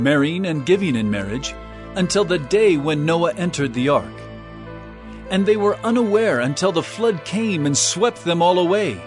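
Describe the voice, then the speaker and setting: Solemn prophet teacher guy, declaiming parables, pitch on the high side and swinging around, music behind. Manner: earnest, declamatory, emphatic. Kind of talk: dramatized scripture narration.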